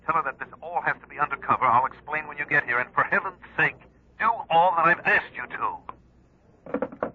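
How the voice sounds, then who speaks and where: An actor playing a secretive, authoritative boss in an old-time radio drama, giving clipped, urgent orders. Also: male voice, on the high side, normal speed, lightly acted. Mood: urgent, commanding. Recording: dull lo-fi old radio recording.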